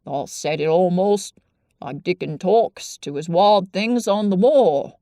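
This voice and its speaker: A woman voicing a sour, blunt old Yorkshire gardener with slow, grumbling dialect speech. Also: lowish voice, dark tone, dry recording, clean studio sound. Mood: gruff.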